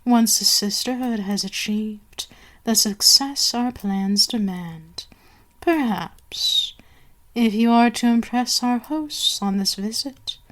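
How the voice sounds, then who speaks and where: A woman playing an imperious, seductive vampire queen in an ASMR roleplay, haughty and menacing, drifting into breathy whispers. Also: medium pitch.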